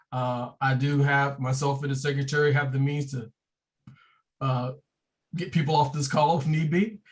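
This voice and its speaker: A man who is a branch president, hosting a virtual meeting in a loose, joking way. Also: mid-range voice. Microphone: video call.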